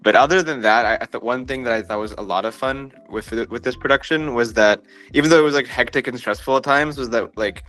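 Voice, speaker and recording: A man's voice: medium pitch, brisk, fairly bright tone, faint music behind, low-quality recording. A young man from Dallas, a student dancer, talking hesitantly with many restarts. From Dallas, Texas. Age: young adult. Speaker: dancer guest.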